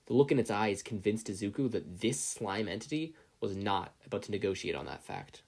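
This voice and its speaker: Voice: sits low, animated, brisk, gravelly, a little mellow. A narrator reading scripted fiction aloud as an audiobook-style story. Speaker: podfic narrator.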